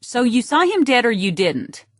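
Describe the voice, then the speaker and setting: A woman's voice: a little high, big pitch swings, moderate pace, no echo, clean studio sound, voice pushed up. A woman voicing a melodramatic, self-pitying young would-be model who is nosy and speaks with theatrical intensity. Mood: pressing, insistent.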